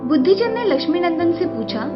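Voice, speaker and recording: High-voiced woman storybook narrator. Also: sing-song, fairly quick, muffled recording, loud music behind the voice.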